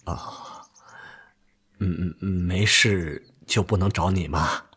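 A gravely ill man in a radio drama, resigned and earnest. His low voice is badly husky and frail, and he moves slowly and haltingly through each phrase. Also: steady tone, fairly bright tone, exaggerated voice. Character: gravely ill older army friend, weary, resigned, earnest. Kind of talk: broadcast radio drama acting.

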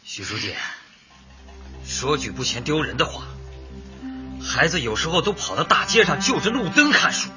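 A man playing a gruff, stubborn, hot-tempered husband in a Chinese radio drama, blunt and grumbling. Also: a little high, big pitch swings, moderate pace, pauses often. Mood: grumbling, frustrated. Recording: muffled radio drama with faint music.

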